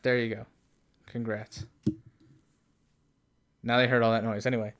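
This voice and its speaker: A man's voice: medium pitch, level tone, a little slow, a bit bright. Wry young man who laughs a lot. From Canada. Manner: wry, laughs often, rambling. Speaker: podcast co-host. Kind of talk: casual chat.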